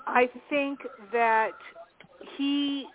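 A woman's voice: sits high, fairly even intonation, very slow, a little hiss or hum. A woman from Canada talking in a casual group podcast chat, hesitant with many ums and restarts. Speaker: podcast panelist. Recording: phone-like call audio.

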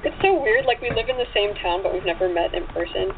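A woman's voice: mid-range voice, expressive, fast. A woman chatting casually and effusively as an interview guest, friendly in manner. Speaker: interview guest, author and actress. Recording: tinny phone line with faint noise.

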